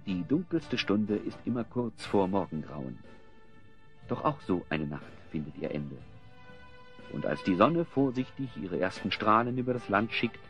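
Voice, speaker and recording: Deep, animated male storyteller. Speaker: narrator. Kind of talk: scripted storytelling narration for an audio drama. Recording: slightly dull sound, music underneath.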